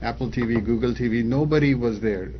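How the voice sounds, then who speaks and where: Fifties male guest, founder type, casual and halting, slightly muffled studio sound. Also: mid-range voice, slight background noise. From Pakistan, raised in Saudi Arabia. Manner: hesitant, many fillers and restarts. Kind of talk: casual interview answers.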